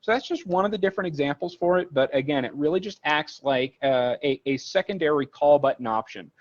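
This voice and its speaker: A man's voice: on the high side, animated, a little fast, dull audio. A man giving a conversational technical product tutorial as a trainer, explaining informally and rambling a little through casual examples.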